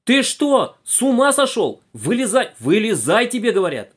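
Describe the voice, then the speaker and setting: A man voicing an agitated young woman train conductor in a story, shouting and scolding plaintively. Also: extremely high pitch, wildly swooping pitch, moderate pace, slightly rough, voice pushed up, exaggerated voice. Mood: frantic scolding.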